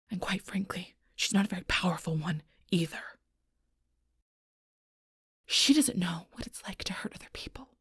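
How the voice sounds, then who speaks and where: A woman playing a fierce, protective alpha werewolf in an audio roleplay, bitter and confrontational, with a breathy, rough-edged voice. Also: on the low side, dry recording, studio quality, full character voice. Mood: hurt, insistent. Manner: intense, confrontational, swearing, often dropping to near-whisper. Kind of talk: audio roleplay acting addressed to the listener.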